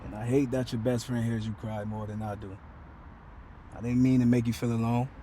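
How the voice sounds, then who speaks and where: A man's voice: medium pitch, little pitch movement, brisk, background noise, lightly acted. A man playing a guilt-ridden, defensive young boyfriend in an earnest, poetic dramatic monologue. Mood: regretful, apologetic. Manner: poetic, earnest, emotional delivery. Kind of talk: dramatic spoken-word monologue. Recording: clear music playing behind.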